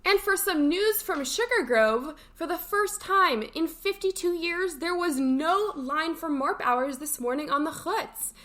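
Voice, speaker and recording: A woman's voice: very high-pitched, lively intonation, unhurried, hardly any pauses, fairly bright tone. A young woman co-hosting a camp morning show, reading announcements and chatting lightly.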